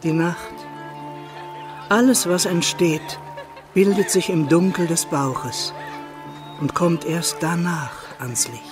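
An actress playing a wise old woman of a forest people in a radio drama, speaking in solemn, measured aphorisms. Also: fairly low pitch. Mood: calm, oracular. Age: older. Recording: music clearly behind the voice.

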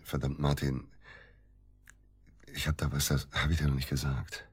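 An actor playing a bitter, agitated middle-aged widower in a naturalistic radio drama, halting and repeating himself for emphasis. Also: male voice, rumbling low, little pitch movement, husky, heavily acted. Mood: uneasy, confiding.

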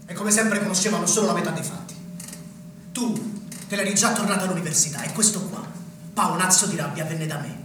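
A young provincial rebel played by a man on stage taunts and insinuates with quiet menace. The theatrical speech is distant, swimming in echo, with clear noise on the recording. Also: high-pitched, a little fast, lightly acted. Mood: taunting, threatening. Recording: echoey theatre, distant mic, noisy.

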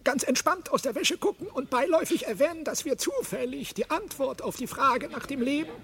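An actor voicing an excitable, condescending alien mouse in a German radio comedy, with a theatrical, exasperated delivery. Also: sits high, brisk. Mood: excited, scheming.

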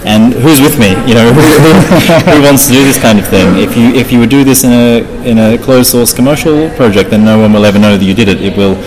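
A man's voice: medium pitch, moderate pace, hardly any pauses, poor audio. A man giving technical interview answers as a guest, often repeating and rephrasing as he explains. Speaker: interview guest, open source project founder. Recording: busy crowd chatter behind the voice. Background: crowd of people talking.

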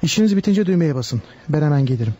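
An actor playing a polite, firm police bodyguard in a radio play, speaking formally. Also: male voice, a little high, lively intonation, fast talker, warm tone, a little hiss or hum, natural voice. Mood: polite, official. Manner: formal, deferential. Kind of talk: Turkish radio theatre acting.

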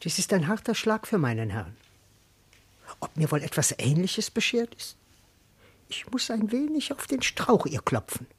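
Ein Schauspieler spielt in einem klassischen Vershörspiel einen frechen, feigen Diener, der sich komisch und wortreich herauswindet. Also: male voice, sits high, big pitch swings, normal speed, slightly rough, full character voice. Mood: sly, nervous. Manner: wheedling, blustering, comically theatrical. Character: cheeky, evasive male servant and husband, comic and cowardly. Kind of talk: classical German verse comedy radio acting.

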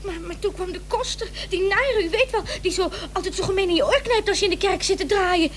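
Young girl, very high voice, stammering, flustered, radio drama, slightly hissy old recording. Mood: excited, anxious. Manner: halting, stammering, childlike. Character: breathless young neighbour girl bringing alarming news. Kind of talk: Dutch radio play acting.

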